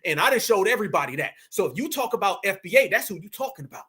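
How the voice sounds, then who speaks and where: High, bright-voiced man preaching commentary. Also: sing-song, very fast. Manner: preachy, emphatic, addresses viewers directly. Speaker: commentary show host. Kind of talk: opinion commentary.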